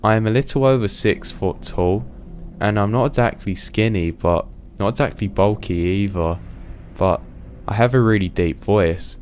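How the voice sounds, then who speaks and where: Deep-voiced man narrating a scary story. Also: moderate pace, a little mellow, a little hiss or hum.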